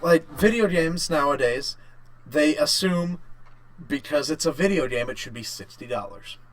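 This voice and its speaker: A man co-hosting a casual podcast chat, thinking aloud in short, halting bursts. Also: fairly high pitch, animated, low-quality recording. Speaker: podcast co-host. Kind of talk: casual chat about games and work.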